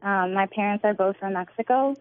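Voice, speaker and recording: A woman's voice: medium pitch, a little fast, no room sound. Woman, 20s, from Northern California, earnest, friendly, phone-line sound, dry. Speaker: guest. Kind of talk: interview answers.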